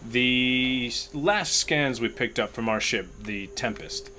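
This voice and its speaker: A man playing a courteous human starship captain in a tabletop roleplay, speaking formally in halting, wordy, diplomatic phrases. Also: mid-range voice, sharp tone, slight background noise. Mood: polite, inquiring. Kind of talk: improvised tabletop roleplay acting.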